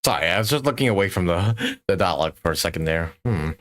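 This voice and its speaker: A man's voice: sits low, animated, fast talker, a bit bright. A man casually commentating on his live gameplay in a jokey, sweary way. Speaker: game streamer.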